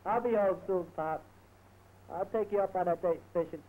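A man's voice: high-pitched, normal speed. A man in his twenties with a New York accent chatting as a soldier calling home on a 1950s phone recording. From Brooklyn, New York. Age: 20s. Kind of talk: phone chat with family. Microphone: headset. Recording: tinny noisy 1950s transoceanic phone recording.

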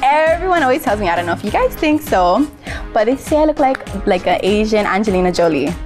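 A woman's voice: medium pitch, big pitch swings, a little fast, over music. A 23-year-old woman from Hawaii talking playfully in an interview. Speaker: nursing student. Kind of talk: casual interview.

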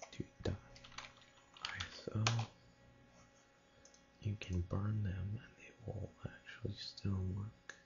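A man whispering a casual late-night tech vlog in a halting way, as if thinking aloud. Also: deep voice, creaky, highs cut off. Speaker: amateur tech vlogger.

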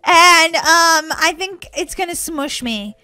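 A woman's voice: extremely high pitch, slow, bright, forceful tone, no echo. A woman hosting a gaming video with exclamatory, giggly, kid-friendly commentary. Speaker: gaming channel host. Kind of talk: playful gameplay commentary. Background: background music.

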